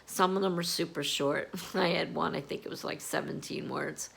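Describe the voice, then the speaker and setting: A woman with a froggy, hoarse voice chatting casually about her writing plans, rambling with plenty of fillers. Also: low voice, steady tone, fairly bright tone. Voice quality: gravelly. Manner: slow, rambling, with many fillers. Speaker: writer vlogging about novel prep. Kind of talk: casual chat to camera. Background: air conditioner humming.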